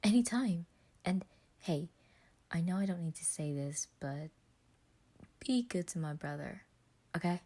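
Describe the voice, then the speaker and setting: A woman's voice: fairly low pitch, expressive, a little slow, long pauses, mellow. A woman voicing a bubbly, friendly man who is easily flustered and talks in a folksy, rambling way. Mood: cheerful, friendly.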